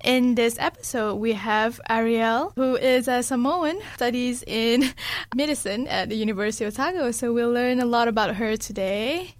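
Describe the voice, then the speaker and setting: A woman hosting a podcast interview on community radio, friendly and quick to laugh. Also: fairly high pitch, no echo. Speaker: podcast host.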